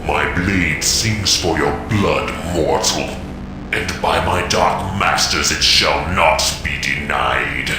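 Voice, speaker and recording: An actor playing a menacing, bloodthirsty demon warlord in a video game, speaking in a pompous, archaic way. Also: male voice, very deep voice, slow. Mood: menacing bloodlust. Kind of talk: video game villain acting. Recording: heavy echo, music behind.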